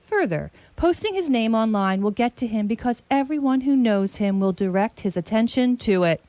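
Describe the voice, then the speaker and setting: A woman playing a shrewd, commanding detective in a radio play, with grandiloquent, deliberate phrasing. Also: fairly high pitch, lively intonation, mellow, no room sound, lightly acted. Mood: confident, deducing. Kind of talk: radio theatre acting.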